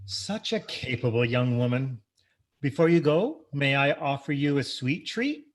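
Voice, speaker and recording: An actor playing a courtly, sweet-talking little suitor in an old-time radio comedy. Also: male voice, mid-range voice, expressive, unhurried. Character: sweet-talking, courtly little male admirer offering toffees.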